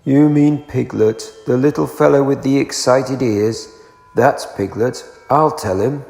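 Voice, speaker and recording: A man voicing a gloomy, self-pitying storybook donkey who talks in a slow, resigned manner. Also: mid-range voice, vocal fry, a little mellow, faint music behind. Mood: doubtful, morose. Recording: roomy echo, long reverb.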